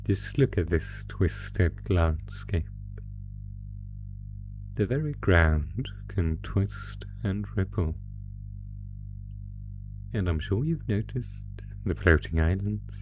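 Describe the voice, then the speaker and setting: A man voicing a sinister, sadistic monster from under the bed in a horror roleplay, taunting his prey in a deliberate, mock-genteel, theatrical way. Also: deep voice, animated. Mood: ominous, amused. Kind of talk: horror roleplay audio, slow theatrical menace. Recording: music under the voice. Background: music.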